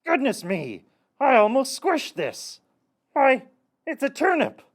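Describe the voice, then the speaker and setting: An actor voicing a kindly, caring forest animal in a children's puppet show, with a gentle, expressive delivery. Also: medium pitch.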